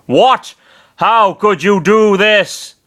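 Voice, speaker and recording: A man's voice: fairly high pitch, expressive, takes it slowly, fairly bright tone, smooth, pitched above the performer's own voice. A man doing Bernard, a cackling pompous villain. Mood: enraged. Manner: maniacal laughter, menacing threats. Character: pompous villainous male commander, scheming, boastful.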